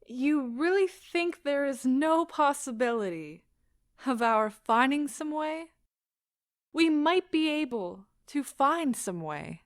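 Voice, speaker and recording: A woman playing an anguished, proud wife in an audio drama, speaking with deliberate emotional intensity. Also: high-pitched, big pitch swings, no room sound, clean studio sound, exaggerated voice. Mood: wistful. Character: anguished, proud wife pleading as her marriage ends.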